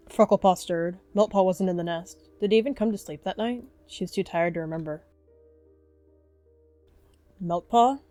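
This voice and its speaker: Low-pitched woman narrating a story. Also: warm tone. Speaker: narrator and roleplayer. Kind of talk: scripted story narration of a roleplay.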